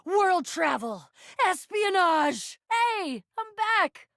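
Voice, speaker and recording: A woman's voice: high-pitched, big pitch swings, moderate pace, dry recording, studio quality. A woman voicing an eager, quest-loving young female adventurer in a video game, slangy and prone to stammering. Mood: excited, upbeat. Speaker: voice actor in a dating game. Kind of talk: scripted video game character lines.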